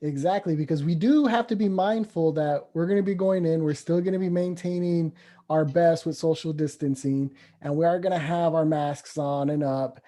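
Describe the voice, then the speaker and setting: Mellow guy, warm dark tone, encouraging, asks lots of follow-ups. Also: fairly high pitch, steady tone, moderate pace. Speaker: home visitor. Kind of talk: casual podcast discussion.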